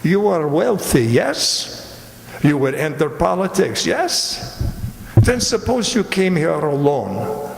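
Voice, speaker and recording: A man's voice: fairly high pitch, very animated, vocal fry, a little hiss or hum, full character voice. Man acting a theatrical police commander, echoey hall. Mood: probing, confident. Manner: courtly, deliberate, theatrical. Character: clever, theatrical Italian police commander, courtly and sly. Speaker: amateur radio player. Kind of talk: stage radio mystery acting. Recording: echoey hall, live stage performance.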